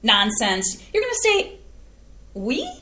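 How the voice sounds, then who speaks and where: A woman's voice: on the high side, wildly swooping pitch, slow, pushed and bright, slight background noise, heavily acted. An actress playing a fussy, affectionate small-town mother who gushes and flusters, in a staged play reading. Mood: fussing. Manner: flustered, gushing, exclamatory. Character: warm fussy small-town mother, middle-aged woman. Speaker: actor playing Claire, the mother. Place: community playhouse stage. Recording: echoey stage from a distance.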